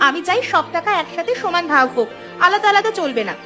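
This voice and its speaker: A woman's voice: extremely high pitch, expressive, quick pace, over music. A woman voicing an outspoken, hardworking young village woman in a cartoon, earnest and emphatic. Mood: indignant, resentful. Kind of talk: cartoon dialogue.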